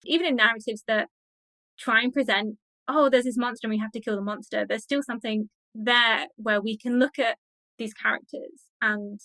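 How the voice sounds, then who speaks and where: A woman with a fairly high, very bright voice, speaking as a guest in a casual interview. She is chatty and enthusiastic, and the sound is dry and close. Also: no room sound, poor audio. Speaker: interview guest, academic and podcaster. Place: indoor office on a video call.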